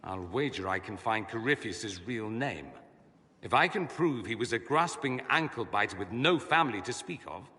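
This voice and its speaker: An actor playing a witty, self-assured mage scholar in a video game, with an arch, sardonic, eloquent way of talking. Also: male voice, medium pitch, animated, moderate pace, sharp tone. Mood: concerned, urgent. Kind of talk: video game cutscene acting. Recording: long hall reverb.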